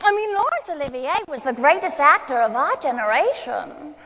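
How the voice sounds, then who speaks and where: Fading screen diva, high-pitched, coquettish and grand, gushing stage monologue, reverberant hall. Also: female voice, sing-song, normal speed, pushed and bright, heavily acted. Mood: nostalgic, dramatic. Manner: gushing, dramatic, calls people darling. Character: theatrical aging female film star, coquettish, fragile, grand. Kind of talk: solo dramatic monologue performance. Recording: echoey hall, long reverb.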